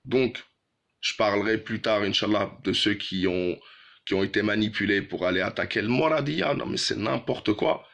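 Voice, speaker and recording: A man from Algeria with a fairly low voice speaks off the cuff to his followers. He is outspoken and opinionated, halting at times and repeating phrases to drive them home. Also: vocal fry, fairly bright tone. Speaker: political commentator addressing followers. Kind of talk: informal spoken political commentary, unscripted.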